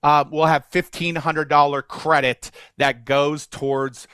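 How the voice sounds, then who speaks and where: A man hosting an online webinar, pitching an offer in an upbeat, salesy way as he lists what people get. His voice is a touch high, and the sound is dry and close. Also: level tone, measured pace, a bit bright, clean tone, no room sound. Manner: upbeat, salesy, runs through offer details. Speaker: webinar host.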